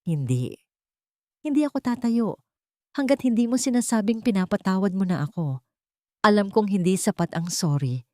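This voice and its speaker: A woman's voice: medium pitch, animated, normal speed, long pauses, warm tone, no echo, studio quality, close to an everyday voice. A Filipino woman voicing a remorseful, devoted rich businessman in an audiobook, earnest and pleading. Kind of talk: audiobook character dialogue.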